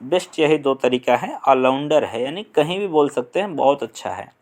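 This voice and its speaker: A young man from India with a Hindi accent, explaining an English lesson slowly and deliberately, pausing on fillers. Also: mid-range voice, animated, a little mellow. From Uttar Pradesh, India. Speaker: English teacher.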